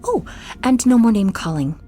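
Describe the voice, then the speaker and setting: A woman voicing a commanding, playfully threatening wife with a measured, teasing manner in a scripted ASMR roleplay. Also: fairly high pitch, lively intonation, warm tone, soft background music, natural voice.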